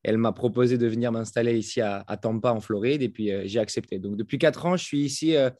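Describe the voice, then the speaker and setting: A French man who just turned thirty, guest on a podcast, speaking in a halting way full of fillers and restarts. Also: medium pitch, a little mellow, dry recording, clean studio sound. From France. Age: 30s. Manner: hesitant, many fillers and restarts. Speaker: guest, fitness coach. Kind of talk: casual interview talk. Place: home in Florida, over a call.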